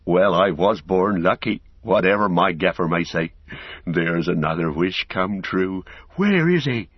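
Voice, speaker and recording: A man voicing a loyal, plain-spoken and excitable young hobbit servant in a storybook, with blunt, homely speech. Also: deep voice, a little slow, no echo, dull audio. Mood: overjoyed. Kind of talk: audiobook character acting.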